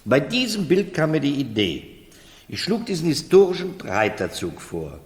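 An actor in a 1970s German radio play, playing a vain, self-mocking middle-aged gentleman who talks in a dry, insistent, aggrieved way. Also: fairly high pitch, huge pitch swings, normal speed. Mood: reminiscing, boastful. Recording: reverberant room, vintage radio drama.

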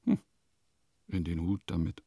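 An actor playing a vain, eccentric composer who muses theatrically and pompously in a radio play monologue. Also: male voice, sits low, huge pitch swings, measured pace, mellow, breathy edge, no room sound, clean studio sound, exaggerated voice. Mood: musing. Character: vain, eccentric male composer musing in his diary.

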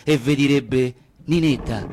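An actor playing a brash, cheeky suitor in a comic stage scene, with a Roman accent and a colloquial, teasing manner. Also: male voice, mid-range voice, unhurried, darkish tone, natural voice. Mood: bold, wheedling. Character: brash, cheeky middle-class-pretending male suitor, crude flirt. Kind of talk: theatrical comic scene acting.

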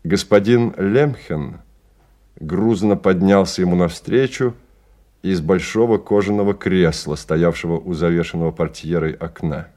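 An actor narrating a radio play as a calm storyteller, in measured, detached literary prose. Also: male voice, deep voice.

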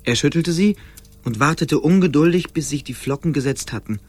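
A man's voice: on the high side, fairly quick, darkish tone, slightly rough. A man narrating a radio play as a scripted storyteller.

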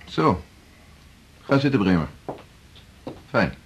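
An actor playing a domineering, condescending senior hospital doctor in a radio drama. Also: male voice, on the low side, sing-song, takes it slowly, a little hiss or hum. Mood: probing. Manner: pointed, condescending, commanding. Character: domineering, prying male senior hospital doctor, needy underneath. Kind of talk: 1980s Dutch radio drama acting.

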